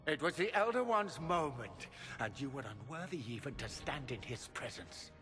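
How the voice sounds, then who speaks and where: A man's voice: mid-range voice, very animated, moderate pace, lightly acted. An actor playing an arrogant, zealous middle-aged mage lord and father in a video game, with grand theatrical delivery. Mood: scornful, fervent. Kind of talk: video game cutscene acting. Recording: reverberant hall with loud music behind.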